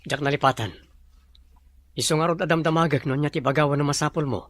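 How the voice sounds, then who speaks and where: A man's voice: a little high, fast talker, fairly bright tone, no room sound, close to an everyday voice. A man acting an evasive, complaining employer and fiancé in a radio drama. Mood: defensive.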